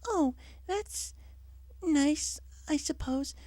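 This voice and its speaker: Man doing a soft, very high timid girl pony. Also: expressive, very slow, no room sound, exaggerated voice. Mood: hesitant, curious. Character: gentle, timid young female pony, softly polite.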